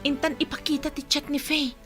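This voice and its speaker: A woman's voice: high-pitched, level tone, fairly quick, fairly bright tone, faint music behind. A woman playing a worried, insistent rescuer in a comedy radio drama. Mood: anxious.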